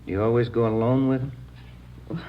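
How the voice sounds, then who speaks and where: A man's voice: medium pitch, moderate pace, lightly acted. An American man playing a plain-spoken, probing police detective in a 1950s radio crime drama. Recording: dull old radio recording, faint hiss.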